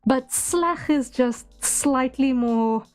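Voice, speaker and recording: High-voiced, slow-paced tired woman streamer. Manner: rambling, giggly, self-deprecating. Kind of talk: casual livestream chat to viewers. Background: background music.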